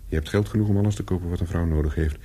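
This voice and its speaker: Husky, low-voiced calculating young spy. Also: male voice, racing, mellow. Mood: brisk, instructing. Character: calculating young male spy, persuasive, looking back as narrator. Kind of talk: radio play dialogue and first-person narration.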